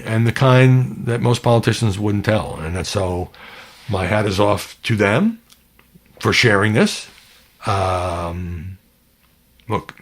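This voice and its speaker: Male podcast host, lowish husky voice, conversational political commentary, emphatic and opinionated.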